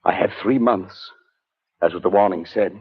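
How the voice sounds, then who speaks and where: A man acting an uneasy, educated man who narrates his own cursed ordeal in a 1940s radio drama, speaking gravely and deliberately. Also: low-pitched, heavily acted. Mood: grim resolve. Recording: muffled vintage radio drama recording.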